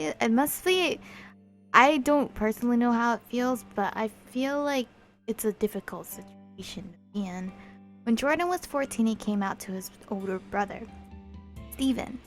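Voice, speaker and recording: A woman's voice: fairly high pitch, animated, measured pace, soft background music. A young woman reading a visual novel aloud for a let's play, with chatty, apologetic asides between the lines.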